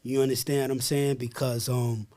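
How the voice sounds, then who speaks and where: A man's voice: medium pitch, level tone, moderate pace, mellow. A man with a Dominican accent hosting a podcast, hyped and streetwise, reading a scripted intro and chatting casually. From born in the US, Dominican family. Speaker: podcast host. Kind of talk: scripted intro narration and casual interview chat.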